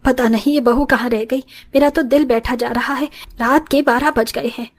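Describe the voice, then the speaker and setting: Woman acting an indignant high-voiced older mother-in-law. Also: fairly even intonation, normal speed. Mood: worried. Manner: scolding, emotional. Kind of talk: Hindi moral story cartoon acting.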